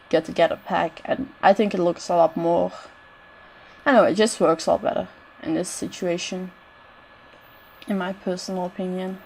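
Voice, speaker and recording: A woman's voice: on the low side, gravelly, a little mellow, a little hiss or hum, poor audio. A woman with a bad cold, her voice straining, giving casual rambling commentary over a building game with lots of "I don't know" asides. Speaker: game builder narrating a renovation.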